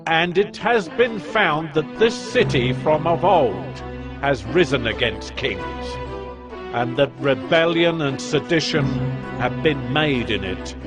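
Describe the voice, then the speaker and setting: Stern ancient king, regal and commanding, very slow delivery, biblical audio drama over music. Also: on the high side, expressive. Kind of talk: dramatized scripture reading. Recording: music behind the voice.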